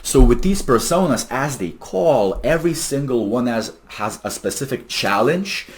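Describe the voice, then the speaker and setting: A man's voice: mid-range voice, lively intonation, moderate pace. A man presenting a casual explainer video in an informal, emphatic, salesy way with some slang. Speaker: AI phone agent developer presenting a video.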